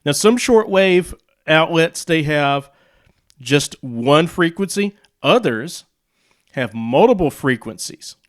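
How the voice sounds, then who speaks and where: Slow-talking man with a clear, smooth voice. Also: on the high side, expressive. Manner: halting, explains piece by piece in short phrases. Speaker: YouTube host presenting radio and audio gear. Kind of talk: casual demo and explainer talk.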